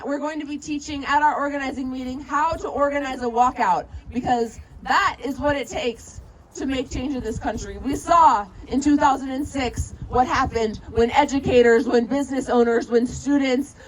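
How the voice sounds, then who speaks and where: A woman's voice: high-pitched, low-quality recording. A woman organizer giving an impassioned, emphatic political speech at an outdoor rally. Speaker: activist organizer speaking at a rally. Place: outdoors at a protest. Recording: outdoor rally with music and crowd noise.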